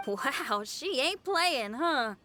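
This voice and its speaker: An actress playing a spirited, friendly young rock musician in an anime game, with a folksy manner. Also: female voice, sits high, expressive, very slow, very noisy, heavily acted. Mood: impressed. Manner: folksy, drawling, exclaiming.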